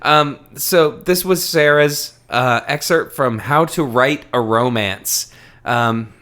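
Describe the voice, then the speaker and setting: A man's voice: mid-range voice, slow pace, pushed and bright. A man co-hosting a podcast, making informal, easygoing announcements in casual chat. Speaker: podcast co-host.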